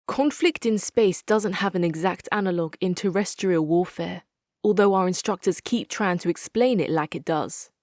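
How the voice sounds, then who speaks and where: Actress as dry, resolute military commander. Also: female voice, fairly low pitch, normal speed, darkish tone, dry recording, natural voice. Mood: wry. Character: hard-nosed, articulate female military commander, dry and resolute. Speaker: video game faction leader. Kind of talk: dramatic scripted game quotes.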